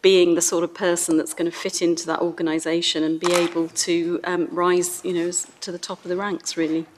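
A woman in her forties, from Wales, answering as a legal panelist in an earnest, emphatic way with measured points. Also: fairly low pitch, little pitch movement, noisy background. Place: large formal hall.

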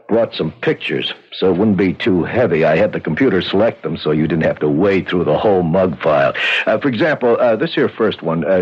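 An actor playing a folksy, matter-of-fact police sergeant in a 1970s radio drama. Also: male voice, deep voice, breathy edge. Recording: dull vintage radio recording.

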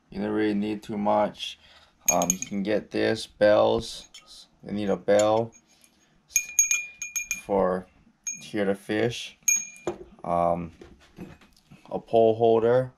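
A young man casually walking through his fishing gear at a table indoors, laid-back and slow, with frequent ums and restarts, picked up by an action camera. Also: fairly low pitch, gravelly, darkish tone, a little hiss or hum. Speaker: fishing vlogger.

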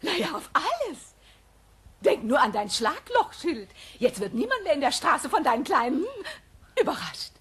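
A woman's voice: high voice, big pitch swings, moderate pace, a bit bright. An actress playing a caring, anxious cartoon wife and mother, speaking tenderly and fretfully. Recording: faintly noisy cassette dub.

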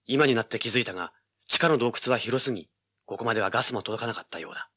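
An actor playing a cocky, tough young treasure hunter, rough-spoken and sardonic, narrating and speaking in a hard-boiled audio drama. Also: male voice, mid-range voice, quick pace, bright, forceful tone. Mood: tense, grim. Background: dramatic music.

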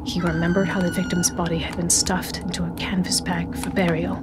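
A woman's voice: on the low side, wildly swooping pitch, a little fast. A woman narrating a spooky audiobook in a dramatic, scripted storytelling style. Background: atmospheric sound design and music.

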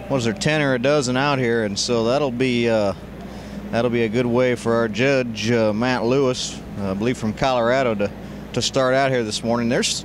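An American man offers live commentary as a livestock show co-commentator. His voice is middle-pitched and his talk is halting, with words repeated as he thinks. A clearly noisy arena is heard around him. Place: large indoor show arena.

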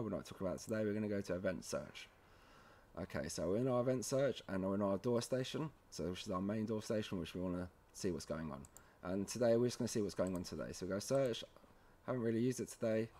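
Low-voiced man giving a casual software walkthrough. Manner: informal, halting, often checking in with "okay". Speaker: tutorial presenter.

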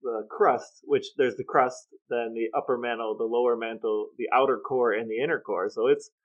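A man's voice: mid-range voice, fairly even intonation, normal speed, phone-quality sound. A man co-hosting a podcast in casual chat, with a wry, joking manner. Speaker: podcast co-host.

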